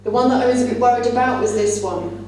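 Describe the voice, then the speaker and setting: A woman's voice: fairly high pitch, fast, a crowd in the background. Female conference speaker, teacher trainer, conversational and explanatory, heard from afar in a very reverberant room. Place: large indoor conference room. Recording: echoey hall, distant mic, faint hum.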